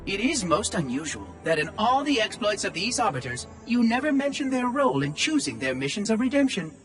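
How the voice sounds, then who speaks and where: A man's voice: sits high, sing-song, a little fast, soft background music, rough recording. An actor voicing a curious, condescending ancient male machine caretaker in video game dialogue. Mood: inquisitive. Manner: prying, quietly condescending.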